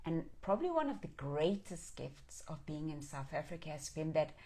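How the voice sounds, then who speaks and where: A woman's voice: deep voice, sing-song, moderate pace, warm tone, slightly rough. A middle-aged woman from Denmark hosting a live stream, speaking in an earnest, affirming and reflective way as she talks with viewers. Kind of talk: reflective inspirational talk, reading and answering viewer comments.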